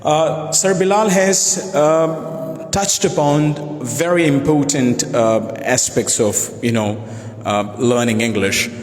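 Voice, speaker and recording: A man's voice: medium pitch, slow pace, soft background music. Man from pakistan hosting a live class chat, fancy words, lots of "you know", roomy echo. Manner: formal, many fillers like "you know", elaborate vocabulary. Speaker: teacher and session host. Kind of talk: live video discussion.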